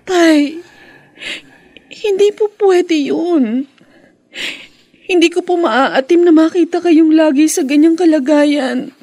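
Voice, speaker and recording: A woman's voice: high-pitched, slow, pauses often, dark tone, no echo. A woman with a Filipino accent playing a meek, devoted young daughter in a radio drama, hesitant, respectful and pleading. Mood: apologetic, sorrowful. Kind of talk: Philippine radio drama acting.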